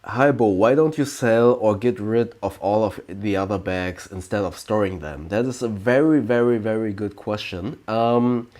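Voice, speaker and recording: A man in his late thirties from Germany with a German accent, hosting a casual question-and-answer livestream, pausing often with ums and restarting sentences. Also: on the low side, a little mellow. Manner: slow, hesitant, many ums and restarts. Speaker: livestream host.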